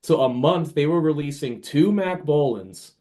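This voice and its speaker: A man's voice: a little high, expressive, normal speed, dark tone. A man speaking as a podcast guest and author, chatting casually about books in an informal, emphatic, opinionated way. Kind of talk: casual book chat.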